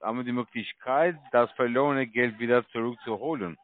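A man with a foreign accent, not a native speaker, giving a halting, scripted pitch over the phone. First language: not German. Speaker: call centre caller posing as official. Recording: thin phone line.

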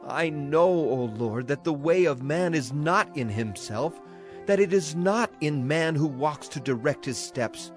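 A man narrating scripture in a measured, solemn dramatized reading. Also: a little high, expressive, faint music behind. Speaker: Bible narrator.

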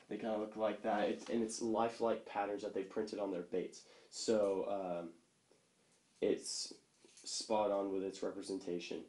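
A man's voice: on the low side, a little mellow. A young man hosting a fishing channel, casually reviewing lures at a table and rambling with lots of fillers and restarts. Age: young adult. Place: small room indoors, seated at a table. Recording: roomy echo, faint background noise.

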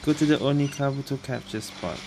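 A woman's voice: extremely low pitch, fairly quick, over loud music, low-quality recording. A woman streaming a video game, casually musing aloud in brief, offhand reactions. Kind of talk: casual gameplay commentary. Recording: loud game sound behind the voice. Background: video game audio and ambience.

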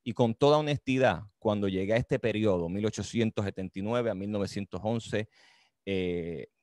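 A middle-aged man from the Dominican Republic with a Caribbean Spanish accent, giving a reflective, deliberate talk as an author and teacher over a video call. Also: fairly low pitch, a little mellow, no echo, clean studio sound. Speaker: author and history teacher presenting his book. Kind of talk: book talk, explanatory storytelling. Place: indoor room with bookshelves, on a video call.

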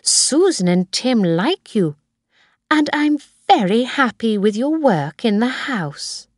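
Lady doing a bossy old grandma from a storybook, firm and scolding, pitched up, very animated. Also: takes it slowly, no room sound, clean studio sound. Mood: kindly, serious. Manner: firm, emphatic, admonishing. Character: stern elderly grandmother, a kindly but bossy employer.

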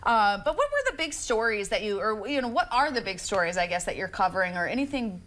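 A woman co-hosting a morning TV show asks a studio guest questions in a relaxed, conversational way. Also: mid-range voice, fast, slight background noise. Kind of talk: TV studio interview. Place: TV studio with couch set. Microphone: lapel mic.

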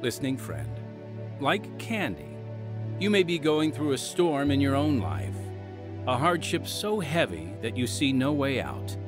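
A man's voice: medium pitch, very animated, a little slow. Earnest male podcast host over music. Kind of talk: scripted ministry announcements. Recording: music bed underneath.

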